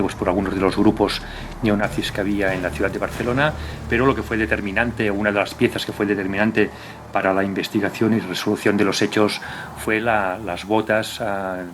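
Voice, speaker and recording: A middle-aged police commissioner, a man, recalling a case in an interview in a hesitant, measured way. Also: on the low side, background noise.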